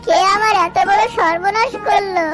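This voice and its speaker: A very high-voiced mother duck from a children's cartoon, acted out by a woman. She is trusting and anxious, with a plaintive, exclamatory way of talking. Music plays behind her voice. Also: moderate pace. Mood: distraught. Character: distressed mother duck, trusting and worried about eggs.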